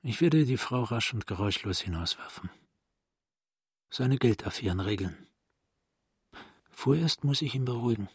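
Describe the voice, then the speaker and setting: An actor playing a calculating, controlled male psychiatrist thinking to himself in a radio play, in a measured manner. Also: mid-range voice, expressive, slightly rough, no echo, studio quality. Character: calculating psychiatrist brother, controlled and privately analytical. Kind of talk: German radio play acting.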